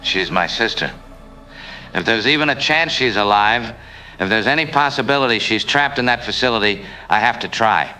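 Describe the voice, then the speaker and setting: A resolute hero in an audio drama. His voice is lowish and very forceful, with terse, intense delivery over music. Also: expressive, normal speed, rough recording. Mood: anxious, determined. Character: determined man searching for his missing sister.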